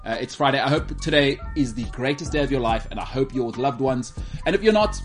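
A man's voice: mid-range voice, animated, fast, soft background music. A man from South Africa hosting a casual sports talk radio show, chatty and jokey, often repeating his words. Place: radio studio.

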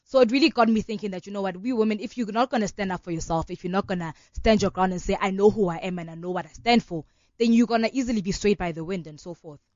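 A woman's voice: medium pitch, fast, darkish tone, no echo. Young south african woman on a radio show, earnest, lots of "you know", dry dull sound. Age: 20s. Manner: earnest, fluent, many fillers like "you know". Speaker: law student and organization founder. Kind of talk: radio interview.

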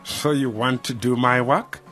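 An actor playing a pompous, self-important government official in a radio drama. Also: male voice, medium pitch, fairly even intonation, measured pace, bright, forceful tone, soft background music. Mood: suspicious.

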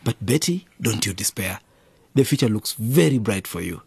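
An actor playing a gentle, affectionate middle-aged father in a radio drama, talking tenderly and consolingly. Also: lowish voice, lively intonation, normal speed, vocal fry, slight background noise. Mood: soothing.

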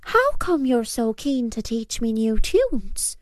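Woman acting a young piper boy, storybook, plucky, polite, eager, high lilting pitch, close dry sound. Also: sing-song, takes it slowly, no echo. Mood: delighted.

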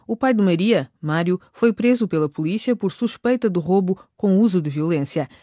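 A woman's voice: fairly low pitch, expressive, moderate pace, warm tone, dry recording, studio quality. A woman narrating a radio drama in a scripted storytelling style.

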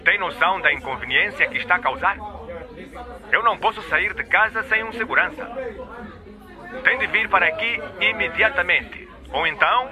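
An actor playing a pompous, indignant boss in a radio drama, scolding and making demands. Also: male voice, sits high, expressive, normal speed, crowd noise.

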